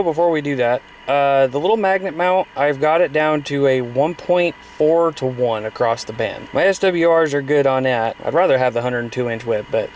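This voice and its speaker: A man, chatting casually and folksily to viewers on a handheld camera in and around a car. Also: on the high side. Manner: folksy, colloquial. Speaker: amateur CB radio vlogger. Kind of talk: casual vlog talk to viewers. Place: in a parked car and outdoors beside it. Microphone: handheld camera at arm's length. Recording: clearly noisy handheld camera audio.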